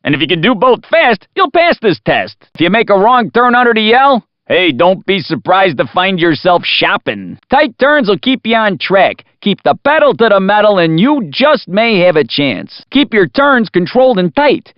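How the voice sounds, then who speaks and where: An actor playing a folksy, wisecracking American announcer in a racing video game, with showy scripted commentary. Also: male voice, sits high, sing-song, normal speed, no room sound. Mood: cheeky, upbeat. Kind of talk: scripted radio-DJ style race commentary. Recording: muffled, lo-fi game audio.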